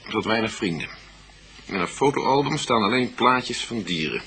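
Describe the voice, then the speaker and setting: Methodical detective, noisy radio recording. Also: male voice, on the low side, lively intonation, fairly bright tone. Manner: deliberate, summing up facts. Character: methodical police detective, thoughtful and matter-of-fact. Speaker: radio play actor. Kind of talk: radio play dialogue.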